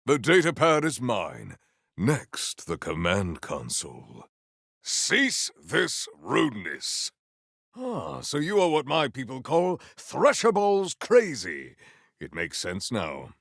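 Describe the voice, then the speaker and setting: A stern, honour-bound male warrior from a video game. He speaks slowly in formal, archaic phrases with dramatic, widely swinging intonation and dry wit. The voice has a gravelly edge and sounds close and dry. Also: fairly low pitch, fairly bright tone, no echo, exaggerated voice. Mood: dryly amused. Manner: formal, archaic, theatrical diction. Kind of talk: video game character voice lines.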